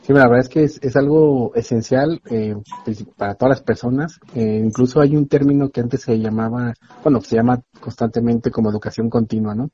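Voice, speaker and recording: Male academic guest, husky and warm-toned, earnest explainer, dull dry lo-fi recording. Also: medium pitch, steady tone, a little fast, few pauses. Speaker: university expert guest. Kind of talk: explanatory interview talk.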